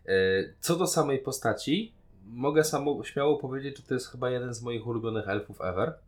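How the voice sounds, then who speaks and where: Mid-pitched man chatting on podcast. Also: brisk. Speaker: podcast co-host. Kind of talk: casual opinion discussion.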